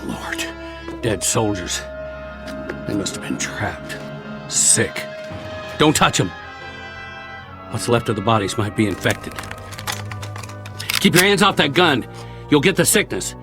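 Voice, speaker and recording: An actor playing a world-weary, wary soldier who survived a war, talking in a colloquial, rambling way in a radio drama. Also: male voice, medium pitch, sing-song, slow pace, pauses often, over music. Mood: warning.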